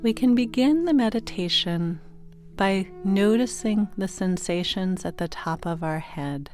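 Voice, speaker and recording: A woman narrating a guided sleep meditation in a gentle, soothing manner, giving unhurried instructions with pauses between phrases. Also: medium pitch, expressive. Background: meditation music with singing bowl tones.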